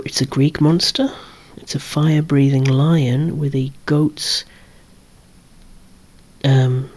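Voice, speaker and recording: A person whispering an ASMR show-and-tell of a collection, musing along in a hushed voice with gently wry asides. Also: mid-range voice. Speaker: presenter of a toy collection.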